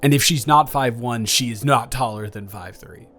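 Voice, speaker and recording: A man improvising a caring, flustered young ex-boyfriend in an intimate audio roleplay, speaking casually with stammers and halting phrases. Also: mid-range voice, a bit bright, a little hiss or hum, close to an everyday voice. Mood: incredulous.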